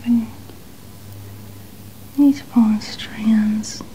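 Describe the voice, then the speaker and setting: A young woman does an ASMR stylist roleplay in a soft, breathy whisper. Her voice is mellow and fairly high, gently describing each small action without hurry. There is a noticeable background noise in the room. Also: fairly even intonation, very slow, low-quality recording. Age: young adult. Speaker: ASMR host playing a dress stylist. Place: small indoor studio room. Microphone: small mic clipped at chest.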